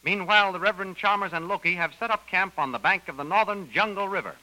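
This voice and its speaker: A man's voice: sits high, fast, bright, forceful tone, poor audio. A man narrating an adventure serial as a dramatic announcer, on a vintage radio recording.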